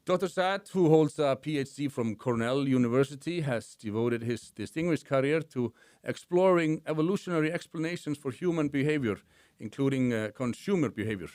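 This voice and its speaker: A man's voice: medium pitch, lively intonation, fairly quick, darkish tone, no echo, studio quality. A man with a thick Icelandic accent hosting an interview, reading a formal introduction and asking questions with frequent hesitations. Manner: hesitant, many "uh" fillers. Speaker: podcast host.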